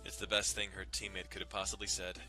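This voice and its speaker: A man's voice: low-pitched, flat delivery, fast talker, pushed and bright, soft background music. A man giving a dramatic scripted narration of a visual novel. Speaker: narrator doing dramatic readings.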